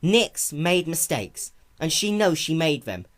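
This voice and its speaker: A performer voicing a caring female pony in a cartoon-based story reading, soft, patient and reassuring like a big sister. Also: mid-range voice, sing-song, slow pace, pitched above the performer's own voice.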